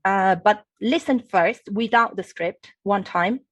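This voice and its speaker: A woman's voice: mid-range voice, slow, no room sound. An Italian woman speaking English with an Italian accent, a linguistics professor patiently explaining to an interviewer as a guest. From Italy. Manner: patient teacherly explaining, often checks with "okay?".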